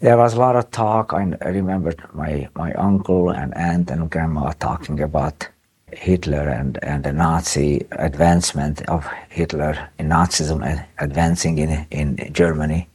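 A man in his nineties from Czechoslovakia recalling his childhood in an interview, speaking haltingly with restarts. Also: very deep voice, steady tone, a little mellow, husky. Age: 90s. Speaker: interviewee, Holocaust survivor. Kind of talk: recounting memories in an interview.

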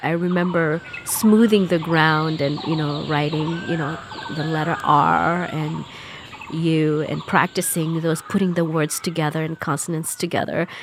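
A woman from Mozambique telling her life story in an interview, reflective and unhurried, often saying "you know.". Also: low-pitched, steady tone, noisy background. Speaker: interview guest.

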